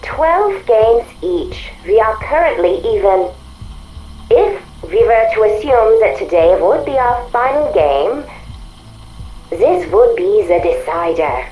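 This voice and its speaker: An actress playing a calm, precise artificial mind with quiet defiance in a video game, measured and deadpan through an electronic filter. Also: female voice, on the high side, reverberant, muffled recording, faint music behind. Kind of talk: video game audio log acting.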